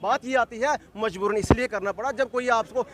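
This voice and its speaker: A man's voice: very high-pitched, fairly quick, background noise. A man giving an insistent street interview, repeating phrases as he argues a political point. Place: outdoors at a street protest.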